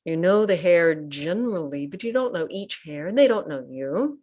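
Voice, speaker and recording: A woman leading a guided meditation as a teacher, speaking gently in short, unhurried phrases with pauses. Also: lowish voice, lively intonation. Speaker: Buddhist nun and meditation teacher. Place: online group session over video call.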